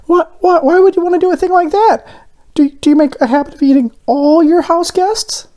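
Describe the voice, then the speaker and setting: Squeaky, excitable talking doughnut. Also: male voice, fairly quick. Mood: shocked, indignant. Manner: earnest, indignant, exclamatory. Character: excitable, naive talking doughnut, small and chirpy. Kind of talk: children's storybook character voice.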